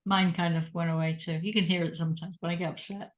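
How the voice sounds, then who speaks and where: A woman's voice: lowish voice, little pitch movement, fast. A woman hosting an interview podcast, a physician herself, asking curious follow-up questions and giving short affirmations. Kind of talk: conversational interview.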